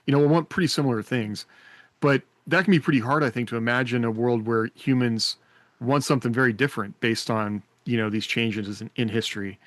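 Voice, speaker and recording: A man's voice: mid-range voice, fairly even intonation, a little fast, no echo. American man thinking aloud, close dry sound. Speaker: podcast co-host. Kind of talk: casual panel discussion.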